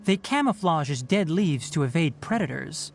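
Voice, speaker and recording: A woman voicing a patient, knowledgeable young male forest ranger in a video game, who explains things calmly and teases a little. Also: low voice, normal speed, vocal fry, a little hiss or hum. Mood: amused. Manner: calm, explanatory teacher, mildly teasing.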